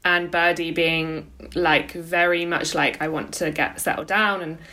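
Lowish-voiced young woman chatting casually. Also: fairly even intonation, a little slow, pushed and bright. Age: late twenties. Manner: tentative, conversational, frequently hedging with "like". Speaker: podcast co-host. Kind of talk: casual podcast chat.

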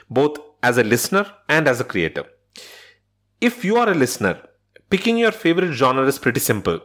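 A man with a medium-pitched voice hosts a scripted solo podcast. His intonation is very animated as he addresses listeners directly. The delivery is instructional, like a guide walking beginners through a subject. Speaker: podcast host.

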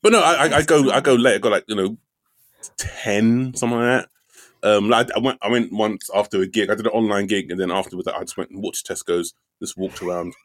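A man's voice: lowish voice, brisk, no echo. A young man from London, a comedian co-hosting a podcast, telling laid-back, joking stories with swearing and lots of fillers. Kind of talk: casual comedy podcast chat.

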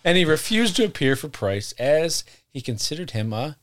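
Lively-voiced man telling a story on a podcast. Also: fairly high pitch, moderate pace, a little hiss or hum. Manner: reads from notes, laughs often, self-correcting asides. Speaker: podcast host.

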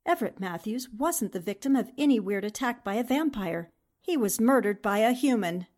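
An actress playing a confident, skeptical woman newspaper reporter in a 1940s-style radio drama, brisk and matter-of-fact. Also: on the high side, expressive, darkish tone. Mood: determined.

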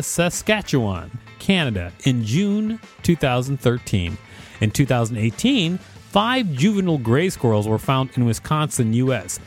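A man with an average-pitched voice reads an article aloud as a co-host. His delivery mixes informative narration with casual talk. Quiet music sits faintly behind him. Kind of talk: reading an encyclopedia article aloud with casual asides.

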